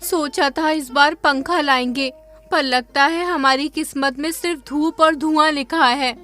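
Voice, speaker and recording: A tired, loving village mother in a cartoon storybook, voiced by a man. Her voice is pitched high and bright, and she sounds resigned to hardship yet fiercely protective of her children. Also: fairly even intonation, measured pace, soft background music, heavily acted.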